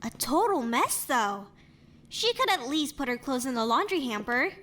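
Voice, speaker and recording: A high-voiced young woman investigator played by a voice actress in an animated mystery, casual and tidy-minded. Her pitch moves around a lot, and there is some noise behind her. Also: moderate pace. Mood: casual, curious. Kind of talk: voice acting in an animated murder mystery.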